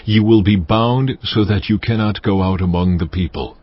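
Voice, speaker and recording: Stern, commanding God for scripture drama, played by a man: deep and solemn, slightly muffled recording with music behind. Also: lightly acted. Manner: solemn, weighty, deliberate delivery.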